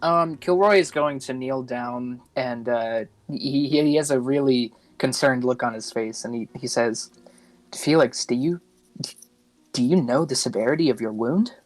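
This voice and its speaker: A man of middling pitch takes part in a tabletop game. He describes his character's moves hesitantly, pausing to piece them together. The sound is very dry. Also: no room sound, poor audio. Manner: hesitant, piecing sentences together. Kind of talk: narrating his character's actions and lines.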